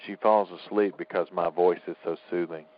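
A man's voice: low voice, steady tone, a little fast. Teasing male talk host, dull lo-fi sound. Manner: teasing, opinionated. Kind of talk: casual political talk show banter. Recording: dull lo-fi audio.

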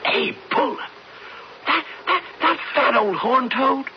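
A man's voice: very high voice, expressive, slow, exaggerated voice. A man acting in a radio western as a stubborn young cowhand suitor, folksy and impassioned. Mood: indignant. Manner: folksy, impassioned, stammering in protest. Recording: dull noisy old radio recording.

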